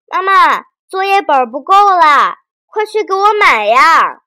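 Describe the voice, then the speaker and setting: A woman voicing a harried young schoolboy in a children's story, calling out loudly and impatiently. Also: very high voice, sing-song, measured pace, dry recording, studio quality, voice pushed up, heavily acted. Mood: urgent, demanding.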